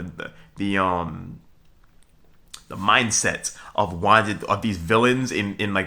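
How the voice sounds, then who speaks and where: A man's voice: deep voice, gravelly, bright, forceful tone. A young man from Brooklyn, talking to viewers in a rambling solo monologue, full of fillers, restarts and a repeated "okay?", with chuckles between points. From Brooklyn, New York. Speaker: vlogger.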